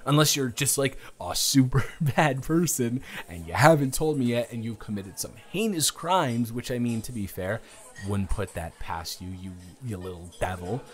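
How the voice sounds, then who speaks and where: A man acts out a young guy on a date in an intimate audio roleplay. His voice sits at a middle pitch. He is earnest and reassuring, and he halts and stammers as he searches for the right words. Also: expressive, moderate pace, natural voice. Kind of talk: improvised intimate romantic roleplay monologue.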